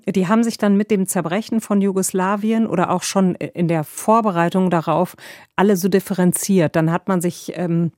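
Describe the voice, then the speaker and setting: A woman's voice: on the low side, darkish tone, dry recording, clean studio sound. A woman working as a travel reporter, telling travel stories in a chatty, rambling way with fillers. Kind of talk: casual storytelling conversation.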